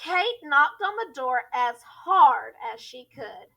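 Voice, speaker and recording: A woman teacher reading a children's story aloud in an expressive, deliberate storytelling style. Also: high-pitched, creaky.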